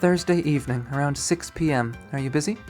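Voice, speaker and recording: A man voicing a composed, formal college woman with dry wit and measured, precise speech in a visual novel. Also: a little high, darkish tone, soft background music, close to an everyday voice. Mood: businesslike.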